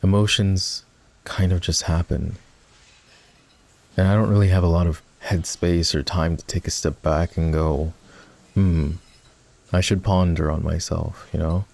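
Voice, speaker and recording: Low, creaky-voiced boyfriend character acted by a man. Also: fairly even intonation, darkish tone, full character voice. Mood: regretful, confessional. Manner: intimate, halting, conversational. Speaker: voice actor. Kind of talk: boyfriend roleplay ASMR acting.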